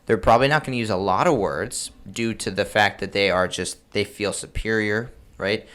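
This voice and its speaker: A man's voice: lowish voice, moderate pace, fairly bright tone. A man hosting a podcast, explaining things in an informal, casual way with many filler words and self-interruptions. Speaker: podcast host giving game master advice.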